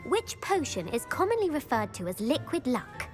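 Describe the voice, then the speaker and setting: A keen bookish brit student in a video game, high bouncy voice, teachery, music behind. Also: female voice, sing-song, normal speed. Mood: delighted, excited. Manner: enthusiastic, precise, teacherly. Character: eager, bookish schoolgirl quizmaster, proud of her trivia. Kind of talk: video game character acting.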